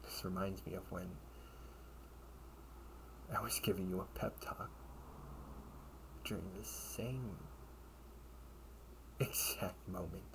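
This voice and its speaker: Slow, low-voiced loyal knight played by a man. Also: noisy background. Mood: wistful, reflective. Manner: slow, halting delivery with breathy, hushed phrases. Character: loyal knight comrade, earnest and encouraging, gently chiding. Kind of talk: audio roleplay monologue, dramatic and intimate.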